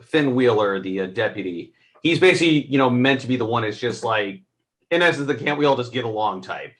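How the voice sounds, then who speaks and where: A man co-hosting a casual film review, talking in a halting way as he searches for his words. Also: medium pitch, animated, a bit bright.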